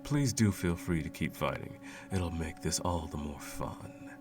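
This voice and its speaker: A man playing a sadistic sorcerer in an ASMR roleplay, cooing mockingly and condescendingly at a captive pet in a low gravelly murmur. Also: lively intonation, a little slow, over music. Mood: smug, teasing. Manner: teasing, cooing, condescending, theatrical.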